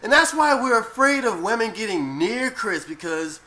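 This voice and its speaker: A man's voice: sits high, sing-song, normal speed, background noise. A man giving informal, opinionated commentary as an internet video commentator.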